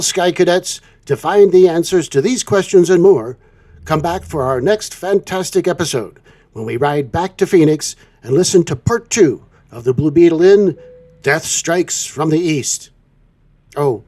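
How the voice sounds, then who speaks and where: A man's voice: on the high side, darkish tone. A man hosting a show, reading a scripted serial-style outro in a theatrical announcer manner. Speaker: show host.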